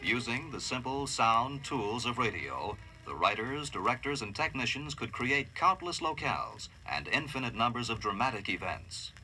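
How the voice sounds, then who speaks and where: An American man narrating a scripted radio history documentary in a formal, measured, dramatic way. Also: medium pitch, very animated, sharp tone, faint music behind, low-quality recording.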